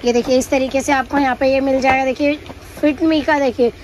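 A woman's voice: sits high, steady tone, brisk, a little mellow, slight background noise. A woman hosting a shop tour vlog, pointing out products and repeating "look here" as she goes. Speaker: vlogger host. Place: wholesale cosmetics shop.

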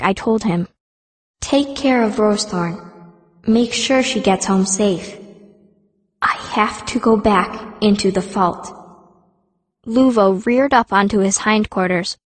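A woman's voice: a little high, dry recording. A woman playing a fierce, earnest young girl mage who narrates her own story in an audiobook. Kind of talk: scripted first-person audiobook narration.

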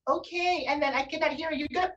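A woman's voice: high-pitched, quick pace, fairly bright tone, dull audio, slight background noise. A woman co-host telling stories in casual chat on a video call. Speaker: online radio show co-host. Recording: distant video-call microphone.

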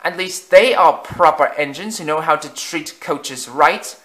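Creaky old storybook coaches who fuss and complain, voiced by a male narrator. Their voices climb high and thin, sounding offended and put-upon. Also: unhurried, bright, forceful tone, rough recording. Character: old, grumbling passenger coaches.

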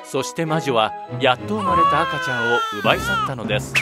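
A man's voice: medium pitch, huge pitch swings, fairly quick, loud music behind the voice. A man narrating a children's fairy tale from a script, as the storybook narrator.

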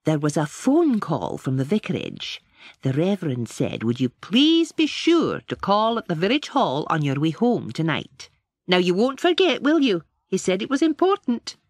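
Kindly, fussing postmistress for children's audio; extremely animated, close dry recording. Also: female voice, on the low side, moderate pace, no room sound, exaggerated voice. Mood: fussing, earnest.

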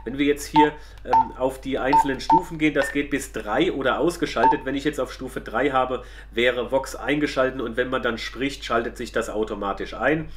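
Male product reviewer, mid-pitched voice, colloquial and chatty, walking viewers through a demonstration. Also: very noisy.

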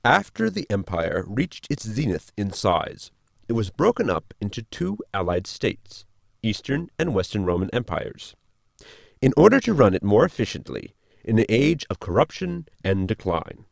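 Man acting a very creaky, pedantic robot crewmate. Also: fairly low pitch, big pitch swings, moderate pace, no room sound, clean studio sound, heavily acted. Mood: lecturing. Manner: pedantic, explanatory. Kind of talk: sitcom audio drama acting.

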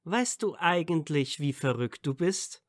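A woman's voice: low-pitched, animated, a little slow, dry recording, studio quality. A woman playing a shy, awkward teenage boy wizard in a fan audio drama, hesitant and earnest. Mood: earnest admiration. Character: shy, awkward dark-haired teenage male wizard student.

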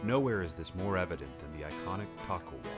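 A man narrating a short documentary in a measured, formal scripted voice-over. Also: low-pitched, animated. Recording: voice-over with guitar music behind.

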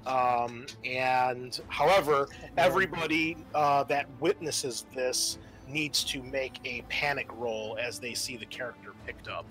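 A man acts as game master at a tabletop game, with a bright, forward voice at a middling pitch. He narrates casually and hesitantly, pausing between phrases and switching into reading rules. Faint music plays behind him. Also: rough recording.

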